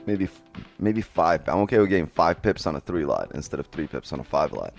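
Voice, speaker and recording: A man's voice: very deep voice, very animated, brisk, creaky. A man casually talking through trades on a live stream, chatting loosely with viewers and swearing now and then, on a headset mic. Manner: loose, profane, chatty, talks to chat. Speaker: day trading streamer. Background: faint music.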